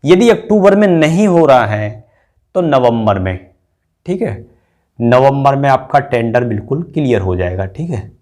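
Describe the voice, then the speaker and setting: A man's voice: medium pitch, very animated, a little slow, a little mellow. Man explaining step by step. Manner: repetitive step-by-step explaining, frequently checking with "okay". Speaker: exam-prep teacher and channel host. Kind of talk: informal explainer addressed to exam candidates.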